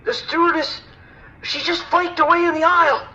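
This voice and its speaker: Through a lo-fi radio link comes a man playing a panicked airline passenger. The voice is high, stammering and helpless, in an audio drama style. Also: little pitch movement, moderate pace, bright, forceful tone, exaggerated voice. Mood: shaken. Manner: hesitant, stammering, alarmed. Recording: tinny lo-fi cockpit radio call.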